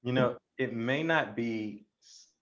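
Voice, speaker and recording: A man's voice: mid-range voice, slow pace, a bit bright. American man on a dull video call. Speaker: panelist. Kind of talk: casual career advice.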